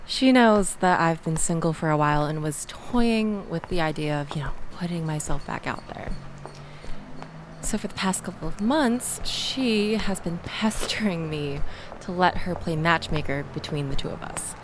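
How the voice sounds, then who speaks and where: A woman playing a shaken, chatty young woman in an intimate audio roleplay, rambling with wry asides and breathy sighs as she confides in the listener. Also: fairly low pitch, lively intonation, normal speed, noisy background, natural voice. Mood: exasperated, chatty. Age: young adult. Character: shaken, chatty young woman escaping a bad date, self-deprecating and grateful.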